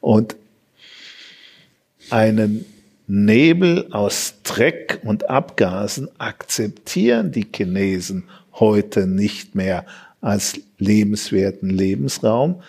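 A man's voice: fairly low pitch, takes it slowly, creaky, a little mellow, husky. A man explaining his view as an expert guest in a debate, with emphatic, punchy statements. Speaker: economic expert, panel guest.

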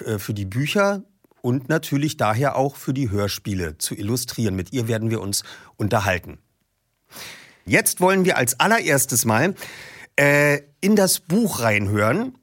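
A man hosting a podcast who introduces the episode and then reads a passage from a book aloud. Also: medium pitch. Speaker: podcast host and audiobook reader.

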